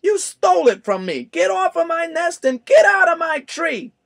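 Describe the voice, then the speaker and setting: A man reading a storybook aloud, voicing a lazy, selfish female bird in a shrill, squawking voice. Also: sing-song, slow pace, gravelly, heavily acted. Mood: furious.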